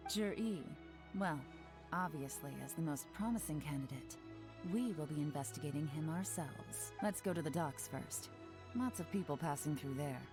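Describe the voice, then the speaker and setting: Woman playing a spymaster, lowish voice, calm and authoritative, faint game music behind. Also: normal speed, lightly acted. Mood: commanding. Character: cool-headed woman spymaster giving orders. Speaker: intelligence chief. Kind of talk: game voice acting.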